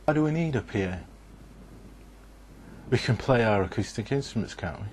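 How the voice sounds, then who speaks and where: A man's voice: fairly low pitch, expressive, normal speed, a little mellow, a little hiss or hum. A man giving a scripted, methodical instructional narration in an explanatory way.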